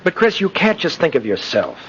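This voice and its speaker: An actor playing an earnest, loyal friend in a 1950s radio drama, speaking persuasively. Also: male voice, fairly high pitch, wildly swooping pitch, a little fast. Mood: earnest, urging. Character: earnest, loyal man pleading with an old friend. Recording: muffled old radio broadcast, faint noise.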